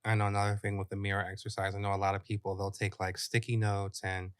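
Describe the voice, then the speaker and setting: Low-pitched young American male co-host. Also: monotone, fast, clean tone, no room sound, studio quality. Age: young adult. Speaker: podcast co-host. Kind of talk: casual conversational podcast chat.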